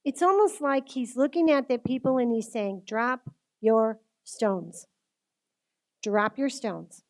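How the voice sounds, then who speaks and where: Woman, fairly high voice, informal faith speaker; earnest, conversational delivery that puts questions straight to the listener. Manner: earnest, conversational, direct address with rhetorical questions. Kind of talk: informal religious talk to a group.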